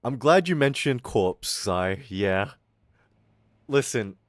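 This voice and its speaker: A man's voice: mid-range voice, lively intonation, slow pace, dry recording, clean studio sound. A young man with an Australian accent that slips toward American, ranting casually on a stream, swearing and mocking people in put-on voices. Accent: Australian with some American.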